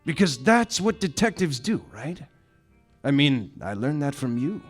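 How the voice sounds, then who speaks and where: An actor playing a loyal, laid-back island sidekick who encourages the detective, in a live comedy radio play. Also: male voice, mid-range voice, very animated, a little slow, faint music behind.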